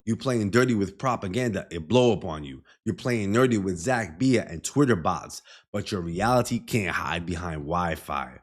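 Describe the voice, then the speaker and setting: A man's voice: sits low, lively intonation, moderate pace, hardly any pauses. A man giving explainer commentary in an emphatic, opinionated way, often quoting and paraphrasing lines as he goes. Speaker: music commentator and host. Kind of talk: explainer commentary breaking down rap lyrics.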